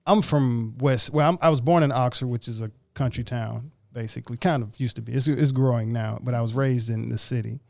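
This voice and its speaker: A man from Mississippi talking in a casual chat, halting and searching for his words. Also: medium pitch, vocal fry, a little mellow, no room sound. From Mississippi, USA.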